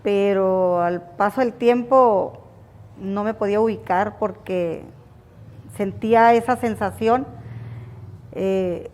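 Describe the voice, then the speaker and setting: A woman's voice: mid-range voice, darkish tone. A woman in her fifties from Sinaloa, recalling her past in a reflective, hesitant way during an interview. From Mexico, Sinaloa. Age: 50s. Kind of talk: personal recollection. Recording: long reverb.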